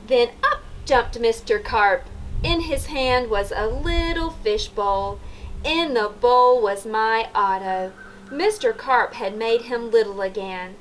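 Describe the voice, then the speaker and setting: Lady doing storytime for little kids, highish voice, takes her time, bit of noise behind. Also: rough recording. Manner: expressive, deliberate, inviting children to repeat. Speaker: children's story reader. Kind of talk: read-aloud storytelling for preschoolers.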